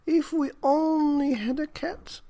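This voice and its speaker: A man's voice: very high-pitched, steady tone, takes it slowly, dark tone, voice pushed up, exaggerated voice. A man voicing a very old, fretful wife in a children's storybook, in a theatrical, exclamatory manner. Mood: wistful. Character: very old woman, lonely, fretful, exasperated wife.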